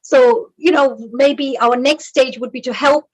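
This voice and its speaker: A woman with a high, husky voice hosts an online panel. She talks in a chatty, warm way and restarts her sentences as she goes. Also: steady tone, measured pace, poor audio. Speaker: host.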